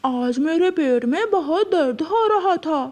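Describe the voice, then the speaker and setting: A woman's voice: very high voice, animated, unhurried, darkish tone. A woman voicing a cunning, servile male fox in a children's cartoon, with a wheedling, falsely friendly manner. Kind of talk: children's animal cartoon voice acting.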